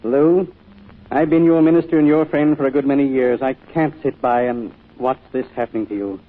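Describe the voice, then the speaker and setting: An actor playing an earnest, caring church minister who speaks in a pleading manner, in an old-time radio drama. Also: male voice, medium pitch, steady tone, moderate pace, natural voice. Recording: muffled old radio recording.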